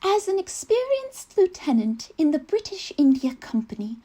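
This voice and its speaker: Prim young Victorian gentlewoman, played by an actress, naive and fashion-proud; high, animated voice, genteel comic stage monologue. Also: normal speed. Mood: prim, earnest. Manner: mannered, genteel, archly earnest. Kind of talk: theatrical comic monologue, reading a letter aloud.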